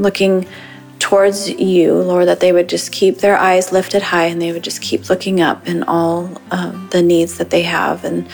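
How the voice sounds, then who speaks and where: A woman with a lowish voice prays aloud in a gentle, devout way, her tone staying level throughout. Soft music is faintly heard behind her. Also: vocal fry. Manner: gentle, devout, with many fillers. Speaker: caller. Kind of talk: spoken prayer and prayer request.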